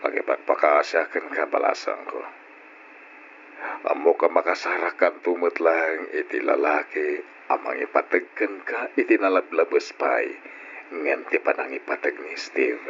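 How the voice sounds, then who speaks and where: An extremely low voice plays a grave older father. He pleads with solemn authority in the manner of old radio drama. The recording is dull and a little noisy. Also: unhurried, a bit bright, heavily acted. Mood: grave, pleading. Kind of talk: old-style Ilocano radio drama acting.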